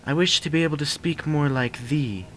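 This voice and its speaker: A man's voice: a little high, normal speed, a bit bright, slight background noise, voice pushed up, lightly acted. A man voicing a regal, earnest pony princess in a fan story reading, with awkward, archaic, formal speech. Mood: hesitant, apologetic. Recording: music behind the voice.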